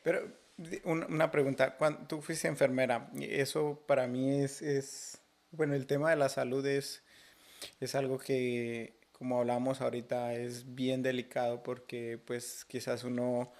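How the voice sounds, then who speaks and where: A man's voice: mid-range voice, little pitch movement, a little slow, darkish tone. Man hosting, hesitant questioner. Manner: hesitant, restarts questions often. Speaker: podcast host. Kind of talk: interview questions.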